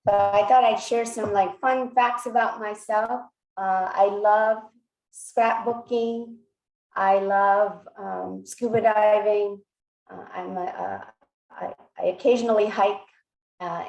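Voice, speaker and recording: A woman in her forties who grew up in South Korea and whose first language is Korean, introducing herself in a measured way with frequent fillers and restarts on a video call. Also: mid-range voice, steady tone, a little mellow. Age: 40s. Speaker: presenter. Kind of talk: personal introduction talk. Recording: echoey room, mic far from speaker.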